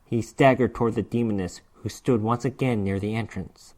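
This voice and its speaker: A man's voice: fairly low pitch, steady tone, moderate pace, darkish tone. A man narrating a fantasy horror short story as a dramatic reading, with theatrical, emphatic delivery.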